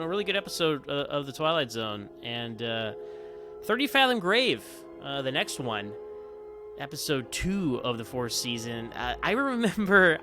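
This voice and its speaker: A man's voice: mid-range voice, sharp tone. A man giving casual fan commentary on TV episodes in a chatty, enthusiastic, rambling manner. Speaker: podcast host calling in as guest reviewer.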